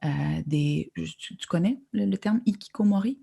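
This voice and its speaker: A woman's voice: mid-range voice, expressive, moderate pace, vocal fry, warm tone, husky. A woman novelist, speaking thoughtfully in an interview, often restarting her sentences. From Quebec. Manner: thoughtful, hesitant, many repeated starts. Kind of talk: conversational literary interview.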